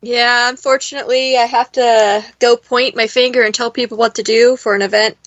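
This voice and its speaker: A woman's voice: on the high side, fairly even intonation, fairly quick, a bit bright, dry recording, muffled recording. A woman chatting casually as part of a group. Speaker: audio helper and self-described audio nerd. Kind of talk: casual chat.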